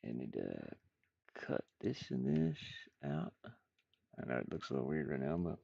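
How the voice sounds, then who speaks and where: A man's voice: rumbling low, sing-song, normal speed, vocal fry, mellow, breathy edge, dry recording, dull audio. A man giving casual, rambling commentary while he works on game art, muttering playfully to himself and repeating words. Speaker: indie game developer streaming.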